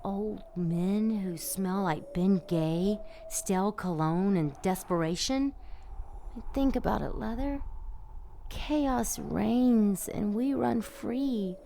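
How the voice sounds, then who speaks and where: A woman's voice: mid-range voice, slow pace, gravelly, dark tone, a little hiss or hum. An actress playing a rebellious, lustful female demon in an audio drama, sardonic and teasing, purring some words breathily. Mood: seductive, persuasive.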